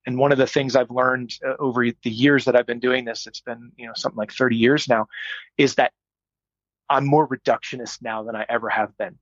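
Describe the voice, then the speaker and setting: A man's voice: mid-range voice, fairly even intonation, fairly quick, no room sound, dull audio. A middle-aged man, a strength coach, answering interview questions in a thoughtful, explanatory way with frequent fillers. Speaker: strength coach, podcast guest.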